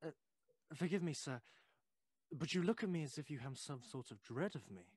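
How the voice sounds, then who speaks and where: A nervously chatty, inquisitive Victorian gentleman in an audio play. His voice swings up and down in a dry, close recording, full of polite hesitations and old-fashioned courtesy. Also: a little high, animated, normal speed, husky, no room sound. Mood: unnerved, protesting. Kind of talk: audio drama acting.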